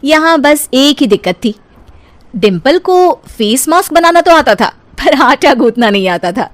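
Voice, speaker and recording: A woman's voice: high-pitched, big pitch swings, a little slow. A woman narrating a scripted comic cartoon story as its storyteller.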